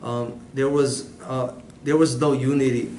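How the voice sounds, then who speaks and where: A man delivers a prepared class presentation, hesitating often and filling gaps with "um." His delivery is flat, with little rise or fall in pitch. The room is echoey and has a faint hiss of background noise. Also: a little slow. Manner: hesitant, many "um" fillers. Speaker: student presenter.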